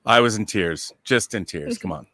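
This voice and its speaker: A man's voice: fairly low pitch, lively intonation, normal speed, bright, forceful tone, no echo, studio quality. A man chatting casually as a panel show guest, emphatic and effusive. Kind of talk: casual animated chat.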